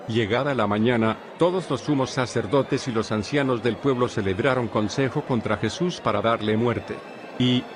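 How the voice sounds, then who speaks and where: Man narrating scripture with music behind. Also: medium pitch. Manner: steady, formal storytelling. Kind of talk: dramatized scripture reading.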